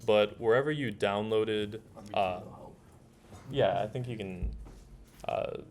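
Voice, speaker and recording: A man with a lowish voice teaches an informal workshop, talking casually and haltingly as he works through each step. Keyboard typing and room noise are clearly audible behind him. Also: a little mellow. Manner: casual, halting, thinks aloud. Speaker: workshop instructor. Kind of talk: informal hands-on tutorial, explaining while typing.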